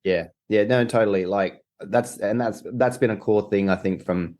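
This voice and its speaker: A man's voice: fairly low pitch, normal speed, darkish tone. A young man, a documentary co-director, answering interview questions in a rambling way with many restarts. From Australia.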